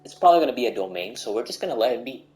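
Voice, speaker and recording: A man's voice: medium pitch, sing-song, very fast, a little mellow, soft background music, low-quality recording. A man doing casual gameplay commentary with joking asides, bantering and repeating himself. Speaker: game streamer.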